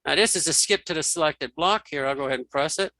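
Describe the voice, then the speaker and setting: A man giving a casual, step-by-step software tutorial, informally narrating what he does as he goes. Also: on the high side, fast talker, no echo. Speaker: tutorial host.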